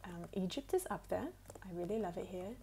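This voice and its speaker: A woman from the United States with a put-on English accent, speaking softly and at times whispering as she unhurriedly answers questions for an ASMR video. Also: fairly low pitch, lively intonation, brisk, slight background noise. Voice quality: soft, partly whispered.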